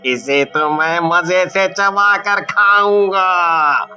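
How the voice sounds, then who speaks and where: A man's voice: high-pitched, pushed and bright, clear, resonant tone, dull audio, faint music behind, exaggerated voice. A man voicing a menacing, gloating camel possessed by a forest demon, drawing out his threats in a horror cartoon. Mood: angry menace. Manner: slow drawn-out threats. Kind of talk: Hindi horror cartoon voice acting.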